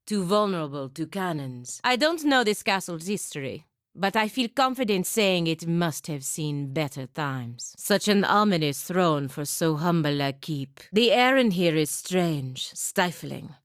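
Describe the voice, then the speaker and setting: A proud, dutiful female warrior from a fantasy video game. Her voice sits low and stays measured, with formal phrasing and a wry, stern edge. The sound is close and dry, with very little room around it. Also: no echo. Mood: cool, observant. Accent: Valian, a lightly Italian-sounding fantasy accent. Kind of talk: scripted game dialogue, voice lines and barks.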